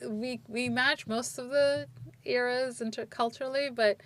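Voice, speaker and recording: A woman's voice: a little high, steady tone, a little slow. A woman in her forties from Turkey, chatting as a podcast co-host in a reflective, rambling way. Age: 40s. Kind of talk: casual chat.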